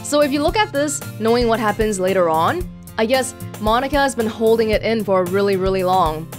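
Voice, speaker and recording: A woman's voice: on the high side, animated, brisk. A young adult woman reading a game aloud and adding casual commentary as a let's play host. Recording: game music behind the voice.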